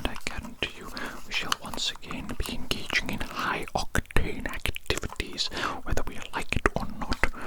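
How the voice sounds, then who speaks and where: Woman doing asmr doctor roleplay, all breathy whisper right up on the mic, soothing, repeats herself softly. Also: low-quality recording. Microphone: close ASMR microphone.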